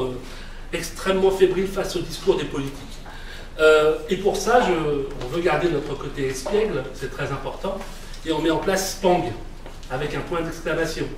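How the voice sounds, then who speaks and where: A man's voice: fairly high pitch, a little hiss or hum. Man speaking in an echoey hall. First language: Arabic. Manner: hesitant, many fillers and restarts. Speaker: conference speaker. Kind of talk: conference talk. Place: large echoing hall. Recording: echoey hall, mic at a distance.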